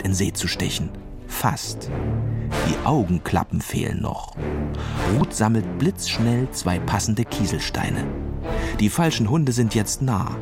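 A man narrating a scripted children's story as a storyteller. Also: lowish voice.

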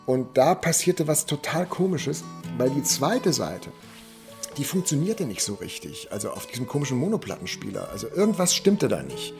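Gravelly man reminiscing over faint music. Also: mid-range voice, big pitch swings, normal speed. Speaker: theatre dramaturg, interviewee. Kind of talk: personal reminiscence in an interview.

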